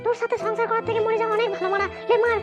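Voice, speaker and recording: A woman voicing a foul-mouthed, nagging young wife in a comedy cartoon, with a West Bengal accent and a pitched-up voice. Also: flat delivery, racing. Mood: furious, daring. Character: shrill, quarrelsome young wife, defiant and aggrieved. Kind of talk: amateur cartoon comedy squabbling. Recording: dull lo-fi sound, music behind.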